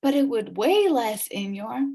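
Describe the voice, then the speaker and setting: A woman voicing an excitable young boy out trick-or-treating, childlike and easily spooked, in a children's storybook. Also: fairly high pitch, unhurried, exaggerated voice. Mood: scheming, casual.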